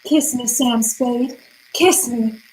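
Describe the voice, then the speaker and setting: A woman's voice: a little high, takes it slowly, a little mellow, slight background noise, poor audio. A woman playing an alluring, deceitful femme fatale in an old-time radio drama, with a melodramatic, pleading manner. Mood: seductive.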